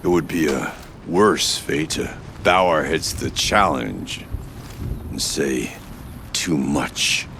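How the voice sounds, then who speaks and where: A hardened warrior chieftain in a game, with an extremely deep voice. He is proud and grave, wasting no words and speaking formally. Ambient noise runs behind him. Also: animated, slow, gravelly, bright, forceful tone, exaggerated voice. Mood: proud, resolute. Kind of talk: video game character acting.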